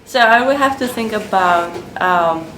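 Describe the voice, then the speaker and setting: A woman from Spain with a Spanish accent, answering interview questions in a halting way as she searches for words. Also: medium pitch, animated. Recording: echoey room with background noise.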